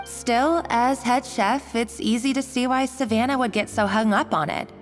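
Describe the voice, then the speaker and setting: A woman's voice: fairly high pitch, normal speed, lightly acted. A woman playing a timid, apologetic young kitchen worker in a game, hesitant and prone to stammering. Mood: hesitant, thoughtful. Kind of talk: English game voice acting. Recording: long reverb over background music.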